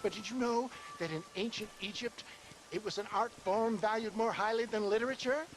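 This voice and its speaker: A man's voice: high voice, brisk, natural voice, poor audio. An actor playing a jovial, pompous snake-oil salesman with wordy, theatrical speech in a Western game. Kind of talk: video game cutscene acting. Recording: clear outdoor noise.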